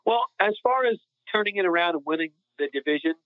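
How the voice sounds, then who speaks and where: A man's voice: high-pitched, expressive, quick pace, smooth, no echo. A man co-hosting a sports podcast, talking casually and giving opinions in a conversational way. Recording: thin phone-like audio.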